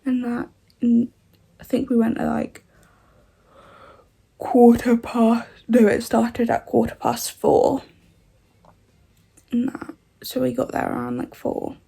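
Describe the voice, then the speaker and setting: A twenty-year-old woman from the UK chatting in a rambling vlog, her voice rough from a cold. The tone is gravelly and husky, low and mellow, and it sits on a fairly flat pitch. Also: slow, pauses often. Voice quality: rough, odd-sounding voice. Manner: rambling, many "like" and "and that" fillers. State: congested with a cold. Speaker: vlogger. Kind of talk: casual vlog chat.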